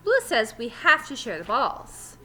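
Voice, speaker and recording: A woman's voice: a little high, very animated, moderate pace, bright, forceful tone. A woman voicing a pushy, bratty schoolboy in a storybook, demanding and whiny.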